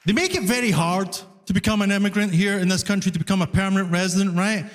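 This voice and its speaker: A Scottish man with a Glaswegian accent performs stand-up in a high-pitched voice. His words ring out with long reverb from a live stage. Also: quick pace, some chatter behind. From Glasgow, Scotland. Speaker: stand-up comedian. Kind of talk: stand-up comedy routine. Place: live comedy venue on stage.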